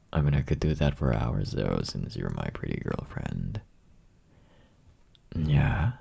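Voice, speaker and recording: A young man playing a clingy, dorky, doting boyfriend in an intimate ASMR roleplay, with a raspy voice and a soft, teasing manner. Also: rumbling low, full character voice. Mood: playful teasing. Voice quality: raspy, gravelly. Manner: soft, breathy, teasing, slow and drawn out. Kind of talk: ASMR roleplay, intimate one-sided conversation.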